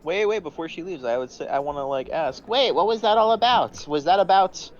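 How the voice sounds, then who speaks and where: A man's voice: fairly high pitch, expressive, fairly quick, highs cut off. A man chatting casually as a player in a tabletop game.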